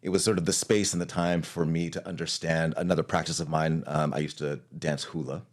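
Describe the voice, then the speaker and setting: Very deep-voiced man, reflective guest. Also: steady tone, brisk. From Hawaii. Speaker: arts leader, guest. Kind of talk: casual interview talk.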